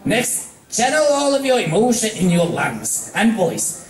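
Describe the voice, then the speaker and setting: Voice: medium pitch, sing-song, a little slow, voice pushed up. A person voicing a brash, impatient young female pegasus pony who pushes and coaches, in a story reading. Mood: impatient coaching. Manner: pushy, exasperated. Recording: echoey room, distant mic.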